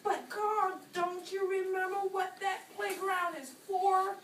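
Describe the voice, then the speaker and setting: Woman doing a very high-pitched, earnest puppet girl, distant. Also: level tone, slow, a bit bright, heavily acted. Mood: earnest, urging. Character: perky, earnest female puppet, scolding and encouraging a friend. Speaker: puppeteer in a children's church show. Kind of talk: children's puppet show dialogue. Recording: distant, echoey room with clear noise.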